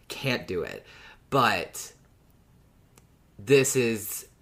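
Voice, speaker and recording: A podcast co-host talking casually as a fan, animated and emphatic, with some swearing. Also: mid-range voice, drawn-out words, gravelly.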